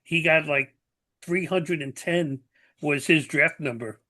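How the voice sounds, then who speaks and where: A man's voice: on the high side, slow, pushed and bright. A man in his seventies hosting a podcast interview, talking in an earnest, plainspoken way and leaning on fillers like "you know". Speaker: podcast host. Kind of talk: conversational interview.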